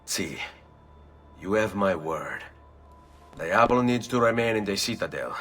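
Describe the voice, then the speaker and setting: An actor playing a grim, resolute young nobleman assassin in a video game, speaking in a grave, measured way. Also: on the low side, expressive, fairly bright tone, faint music behind. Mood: earnest. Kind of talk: video game cutscene acting.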